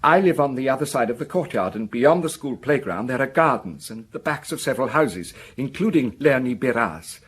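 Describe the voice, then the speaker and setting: An actor playing an anxious, defensive village schoolmaster in a radio drama, earnest and hesitant, stumbling over repeated words. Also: male voice, mid-range voice, lively intonation, brisk, hardly any pauses, a bit bright, breathy edge. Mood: earnest explaining.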